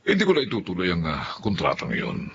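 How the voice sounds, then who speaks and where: An actor playing a blunt, smug, wealthy businessman in a Filipino radio drama, husky and patronizing. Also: low-pitched, huge pitch swings, fast talker, a bit bright, slight background noise, heavily acted. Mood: hesitant, businesslike. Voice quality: husky, rough. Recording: muffled radio drama recording.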